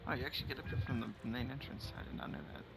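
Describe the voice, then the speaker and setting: A man's voice: mid-range voice, very animated, rapid-fire, creaky, very noisy. A man giving casual gameplay commentary, thinking aloud as he plays. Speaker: game streamer. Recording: game ambience behind the voice.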